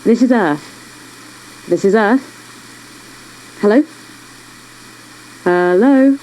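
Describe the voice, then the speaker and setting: Nikki, a slow, lone radio caller. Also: female voice, mid-range voice, expressive, dark tone, a little hiss or hum, poor audio. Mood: searching, calling out. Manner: repetitive calling out, projected. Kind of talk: audio drama acting.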